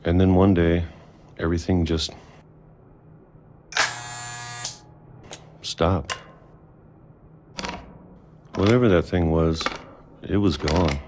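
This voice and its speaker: An actor playing a weary, middle-aged recluse in a video game, narrating reflectively in a slow, measured, resigned manner. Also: male voice, sits low, steady tone, background noise. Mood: weary, resolved. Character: weary reclusive man, decades hidden in a bunker.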